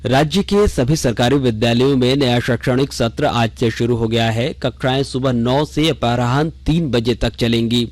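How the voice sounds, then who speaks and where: A man reading a scripted radio news bulletin in a measured, formal way. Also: mid-range voice. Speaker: news reader. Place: radio studio.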